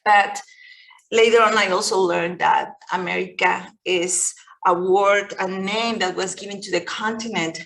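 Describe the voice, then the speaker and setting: A middle-aged woman from Mexico with a Mexican Spanish accent, whose first language is Spanish, gives a reflective public lecture over a video call, gently and with many pauses and restarts. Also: medium pitch, fairly even intonation, vocal fry, low-quality recording. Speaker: guest lecturer.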